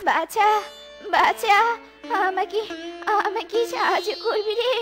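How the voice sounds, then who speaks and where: A helpless old granny from an animal cartoon, with an extremely high voice. She speaks in an imploring, rustic manner, full of plaintive weight. Prominent music plays behind her. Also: slow. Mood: weary pleading. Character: helpless, pleading old village woman, an animal. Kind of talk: cartoon voice acting.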